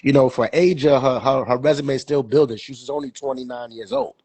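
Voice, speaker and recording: A man's voice: medium pitch, fairly even intonation, a little fast, darkish tone, slightly rough, dry recording. A young man hosting a podcast, chatting casually about sports, stuffy with a cold and rambling with many fillers and repeats. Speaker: podcast co-host.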